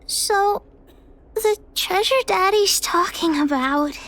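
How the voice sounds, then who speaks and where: A woman's voice: extremely high pitch, slow pace, fairly bright tone, exaggerated voice. A woman voicing an earnest, childlike little girl devoted to her daddy in a video game. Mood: puzzled. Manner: speaks of herself in third person, childish.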